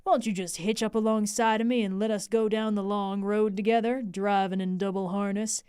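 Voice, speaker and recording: A woman's voice: mid-range voice, moderate pace, a little mellow, clean tone, no room sound, studio quality, pitched below the performer's own voice, natural voice. A woman voicing an earnest, good-humored young Texan man who talks in folksy slang.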